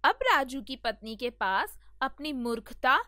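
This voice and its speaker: A woman's voice: sits high, expressive, measured pace, pushed and bright, no room sound, studio quality. A woman narrating a scripted children's moral story as a storyteller.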